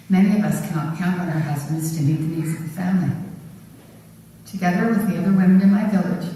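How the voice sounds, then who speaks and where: A woman's voice: low-pitched, warm tone, slight background noise, lightly acted. A woman playing a determined village woman, reading steadily in a church drama. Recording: echoey church from far off.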